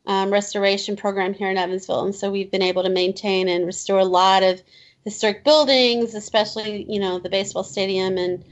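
A woman's voice: mid-range voice, fairly even intonation, fairly quick. A woman in her fifties from Indiana, chatting as an interview guest with many fillers and restarts, laughing now and then. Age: 50s. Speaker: children's book author, guest. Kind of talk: casual interview chat. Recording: slightly dull lo-fi audio.

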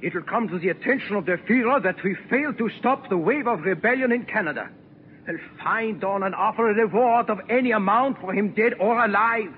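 An actor playing a ruthless, imperious Nazi occupation officer in a 1940s radio drama, threatening and theatrical. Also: male voice, sits high, brisk, close to an everyday voice. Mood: frantic rage. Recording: tinny, noisy, echoing 1940s radio recording.

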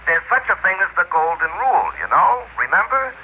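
An actor playing an agitated, suspicious businessman with excitable, insistent delivery in an old-time radio drama. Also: sits high, a little fast. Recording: noisy, tinny old radio broadcast.

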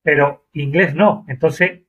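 Guy chatting in an interview, kinda high husky voice, lo-fi sound. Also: takes it slowly, a bit bright. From Argentina. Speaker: Uber driver being interviewed. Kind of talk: conversational interview. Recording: dull lo-fi audio.

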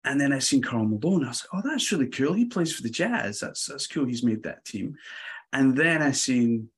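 Husky Glasgow-accented man telling stories. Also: medium pitch, normal speed. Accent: Scottish, Glasgow. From Scotland. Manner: relaxed, chatty, laughs lightly. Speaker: podcast guest. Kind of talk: casual interview storytelling.

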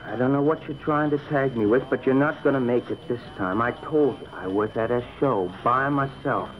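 An actor playing a defiant teenage suspect, answering with resentful, sarcastic backtalk in an old radio crime drama. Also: male voice, mid-range voice, moderate pace, natural voice. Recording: tinny old radio broadcast.

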